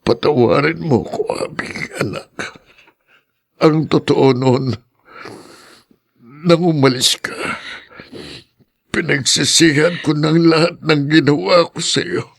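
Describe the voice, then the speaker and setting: A man's voice: on the high side, fairly even intonation, takes it slowly, creaky, pauses often, no room sound, clean studio sound, exaggerated voice. A man playing a remorseful, tender old father in a Filipino radio drama, with a Filipino accent. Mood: remorseful, emotional. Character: remorseful elderly ailing father, tender with his children.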